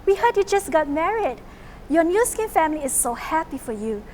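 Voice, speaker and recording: A woman hosts an event, reading scripted introductions in a loud, projected, upbeat way. Her voice sits high, with faint noise behind it. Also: sing-song, fairly quick. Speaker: event host.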